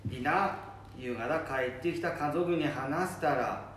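A man's voice: on the high side, slow, a bit bright. A man playing a chatty old grandfather with a Nambu dialect from northern Japan in an amateur radio drama. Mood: chatty, recounting. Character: chatty old grandfather recounting village gossip. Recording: distant echoey room with faint noise.

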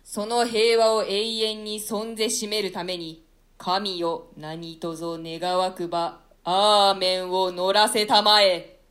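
Woman as conquering pious prince: mid-pitched, stately, oratorical delivery of archaic stage verse. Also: flat delivery, takes it slowly, fairly bright tone. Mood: prayerful, stately. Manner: solemn, formal, oratorical. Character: pious, victorious nobleman becoming king. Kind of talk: classical stage-play declamation in archaic Japanese.

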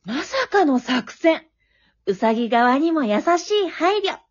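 A woman playing an excitable, wisecracking storyteller, narrating a comic radio drama like play-by-play with dramatic flair. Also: high voice, slow pace, heavily acted. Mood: wry, exasperated. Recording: dull, lo-fi recording.

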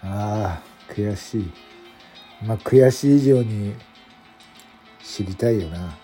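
Man acting brooding veteran detective, dark low voice. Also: takes it slowly, breathy edge, faint music behind. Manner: terse, rueful, musing. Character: brooding veteran male police detective, gruff, regretful. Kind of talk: radio drama acting.

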